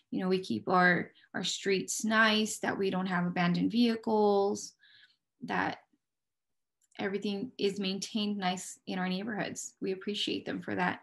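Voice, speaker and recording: A woman's voice: mid-range voice, vocal fry. An American woman with a mid-range voice speaks as an interview guest. She explains her working life in a candid, conversational way, often setting up a question and answering it herself. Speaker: police dispatcher, interview guest.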